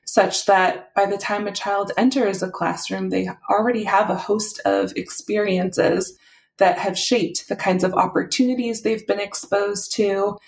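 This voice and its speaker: Female policy guest, measured delivery, gravelly voice. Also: medium pitch. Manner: steady, articulate, methodical. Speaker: health policy advisor, podcast guest.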